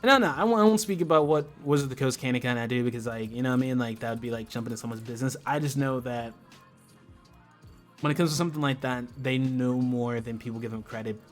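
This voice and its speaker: American man, medium pitch, casual livestream chat, impassioned, slangy, sweary, faint background music. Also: fast. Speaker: livestream host.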